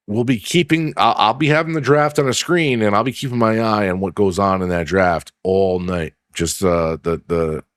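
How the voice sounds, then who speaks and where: A low-pitched man hosting a sports podcast in a dry, close-miked sound. He talks loosely and swears a lot, reading news stories aloud and breaking off to add his own remarks. His voice is wearing hoarse. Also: a bit bright, no echo. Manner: profane, rambling, reads news aloud with asides. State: losing his voice. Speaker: podcast host. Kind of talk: casual sports podcast chat.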